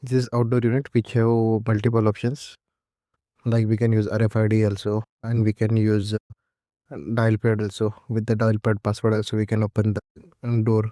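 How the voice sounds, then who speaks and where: Male tutorial presenter, mellow and even-toned, halting step-by-step software walkthrough delivery, close dry sound. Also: on the low side, no echo. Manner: halting, repetitive step-by-step instruction. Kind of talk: unscripted software setup walkthrough.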